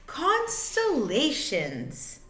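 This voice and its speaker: A woman reading a children's book aloud like a teacher, in a deliberate, expressive way, phrase by phrase for young listeners. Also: high voice, roomy sound, slight background noise. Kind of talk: children's storybook read-aloud.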